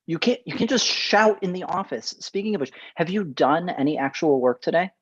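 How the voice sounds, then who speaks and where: A man playing an uneasy, blunt forty-year-old TV producer in a comedy script read, a little halting. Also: a little high, lively intonation, breathy edge, lo-fi sound. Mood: flustered.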